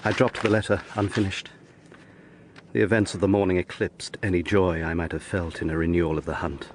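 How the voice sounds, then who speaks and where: Man playing a low-voiced, earnest young lawyer. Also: level tone, brisk, a little hiss or hum, natural voice. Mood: brooding. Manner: gentle, dramatic, literary narrating. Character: earnest, sensitive young lawyer telling his story. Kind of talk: audio drama narration and acting.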